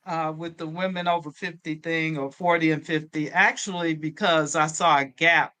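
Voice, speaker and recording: A woman's voice: fairly low pitch, bright, forceful tone. A woman of seventy, a personal trainer guesting on a podcast, chatting casually and restarting her sentences. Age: 70s. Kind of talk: casual conversation.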